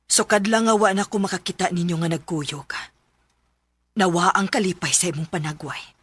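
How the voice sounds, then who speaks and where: A woman with a Cebuano Filipino accent playing a caring, wise mother in a radio drama, gentle and expressive. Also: fairly low pitch, moderate pace, husky, no room sound. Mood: gentle, reassuring. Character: caring, wise older mother counselling her widowed daughter. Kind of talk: Philippine radio drama acting. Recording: music bed under the voice.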